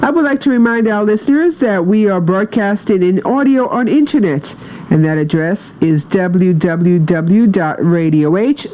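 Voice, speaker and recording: Female radio continuity announcer, tinny shortwave. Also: mid-range voice. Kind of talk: station announcement.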